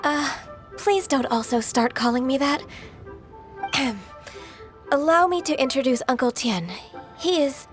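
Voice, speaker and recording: An actress playing a dutiful, modest secretary in a video game, formal, composed and deferential. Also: female voice, high voice, a little slow, long pauses, soft background music. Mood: flustered, polite. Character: polite, modest, dutiful young-sounding woman secretary.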